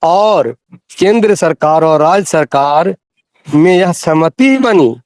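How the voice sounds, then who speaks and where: A man's voice: on the high side, lively intonation, takes it slowly, a little mellow, dry recording, clean studio sound. A man explaining rules in a phone discussion, insistent and repeating phrases for emphasis. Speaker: interviewee explaining teacher rules. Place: recorded audio call.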